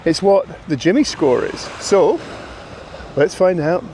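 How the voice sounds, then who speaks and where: Man, high voice, joking banter, outdoor terrace, traffic noise behind. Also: lively intonation, a little slow. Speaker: restaurant reviewer host. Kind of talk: casual vlog chat at the table. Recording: loud street noise behind.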